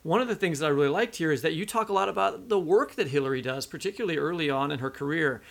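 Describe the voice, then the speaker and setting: A man co-hosting a literary podcast, talking through his thoughts in a halting, conversational way. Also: a little high, lively intonation, creaky, fairly bright tone. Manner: halting, conversational, thinking aloud. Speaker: podcast co-host. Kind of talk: literary interview discussion.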